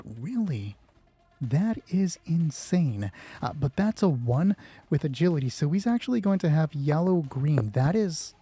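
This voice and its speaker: Guy thinking out loud as a solo game master, soft mellow voice, dry close sound, faint music. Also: fairly high pitch, husky, no room sound. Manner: thinks aloud, swears casually. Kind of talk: casual improvised game narration.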